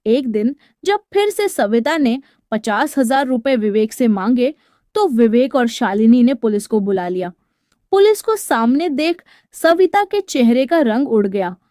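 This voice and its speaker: A woman's voice: fairly high pitch, expressive, measured pace, dark tone, no echo. A woman narrating a scripted story in a dramatic storytelling style, recorded as a close voice-over.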